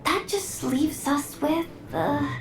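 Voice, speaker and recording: A woman's voice: high voice, very animated, slow pace, heavily acted. A woman voicing a nervous young scout who hesitates and stammers. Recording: game audio with reverb over music.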